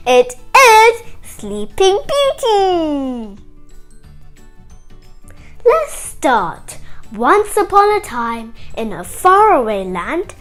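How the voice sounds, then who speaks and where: A woman with a high, lively voice tells a story for children over music. She takes it slowly, with big swings of intonation, and chats directly to her young listeners. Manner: animated, chatty, asks the listener questions. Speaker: children's story show host.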